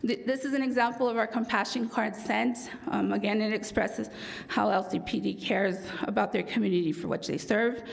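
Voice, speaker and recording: A woman with a raspy voice giving a formal presentation to a city council for a police department, with frequent ums and self-corrections. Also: medium pitch, fairly even intonation, normal speed, hardly any pauses, low-quality recording. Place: council chamber.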